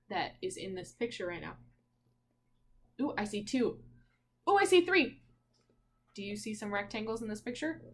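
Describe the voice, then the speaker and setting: A woman's voice: a little high, a little hiss or hum. A woman teacher reading a picture book aloud to young children in a sing-song way, prompting listeners with questions.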